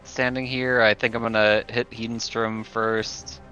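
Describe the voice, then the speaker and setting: A man's voice: lowish voice, level tone, unhurried, pushed and bright, lo-fi sound, faint music behind. A man chatting casually as a player in a tabletop roleplaying game.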